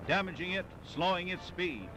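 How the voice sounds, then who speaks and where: A man's voice: sits high, expressive, normal speed, noisy background. A man narrating a 1940s newsreel in dramatic, declamatory, clipped phrases. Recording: vintage 1940s newsreel soundtrack with music.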